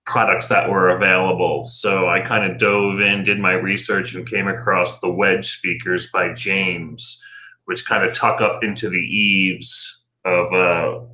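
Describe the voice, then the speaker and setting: A man's voice: deep voice, bright, forceful tone, poor audio. A middle-aged American man giving conversational answers as an interview guest and business owner.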